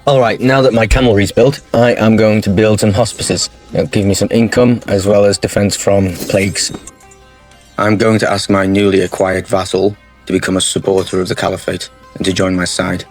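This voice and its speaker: A man talks through a strategy game campaign in a low, level voice. His tone stays steady and matter-of-fact as he explains each choice, with soft music playing under him. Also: moderate pace. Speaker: gaming channel host. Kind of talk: strategy game playthrough commentary. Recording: faint music bed under the voice.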